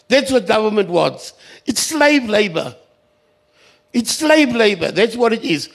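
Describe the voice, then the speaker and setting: A South African man speaks on a panel in a high, widely swinging voice. He is indignant and emphatic, with a sarcastic edge. He repeats key phrases to drive them home. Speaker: community radio sector representative on a panel. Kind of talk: impassioned panel speech.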